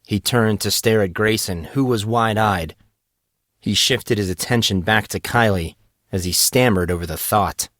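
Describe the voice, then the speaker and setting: A man narrating his own fantasy serial in a steady, measured storytelling manner. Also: lowish voice, no echo, studio quality. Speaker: author and narrator. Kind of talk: scripted fantasy audiobook narration.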